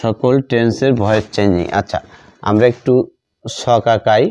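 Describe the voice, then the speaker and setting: A man teaching a grammar lesson, speaking deliberately and repeating phrases as he writes. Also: fairly low pitch, steady tone, darkish tone, no room sound. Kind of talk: slow lesson narrated while writing out examples.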